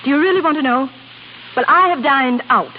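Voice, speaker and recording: A woman's voice: high-pitched, brisk, close to an everyday voice. A woman playing a temperamental, lovesick young opera diva with dramatic, emotional 1930s radio acting. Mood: angry, defiant. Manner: dramatic, emotional, breathlessly repeating words. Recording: muffled, noisy 1930s radio broadcast.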